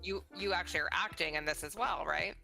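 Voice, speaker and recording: A woman's voice: on the low side, brisk, creaky, sharp tone. A middle-aged woman conducting a casual interview in an encouraging, conversational way. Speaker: interviewer, mother of the creator. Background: music.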